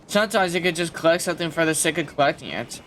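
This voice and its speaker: A man's voice: on the high side, lively intonation, fast talker, fairly bright tone, a little hiss or hum. A man giving casual commentary as he plays through a video game. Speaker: streamer playing a game. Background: game music.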